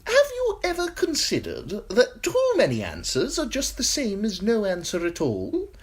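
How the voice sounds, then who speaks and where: A woman voicing a sly, simpering male eunuch courtier with a high, tittering voice, in an audiobook. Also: huge pitch swings, moderate pace, husky, exaggerated voice. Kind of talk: audiobook character voice.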